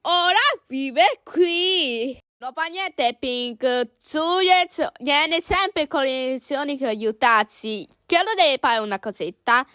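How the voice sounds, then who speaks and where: A woman's voice: sits high, animated, slow pace, few pauses, sharp tone, no room sound, clean studio sound. A woman doing an amateur cartoon fan dub, acting out several characters in an exaggerated, exclamatory way.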